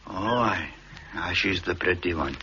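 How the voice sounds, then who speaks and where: An actor playing a calm, plain-spoken Hebridean islander in a 1960s radio drama, muffled old broadcast with hiss. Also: male voice, low-pitched. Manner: calm, terse, measured.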